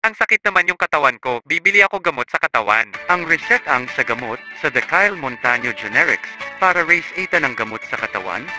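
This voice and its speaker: A man's voice: mid-range voice, lively intonation, fairly quick, hardly any pauses, over music, poor audio. A man with a Filipino accent reading scripted radio commercials as a voice-over announcer.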